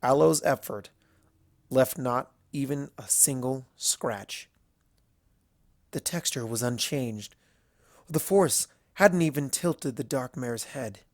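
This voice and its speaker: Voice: medium pitch, slow, darkish tone, no echo, clean studio sound. A narrator reading a scripted story aloud in a storytelling style.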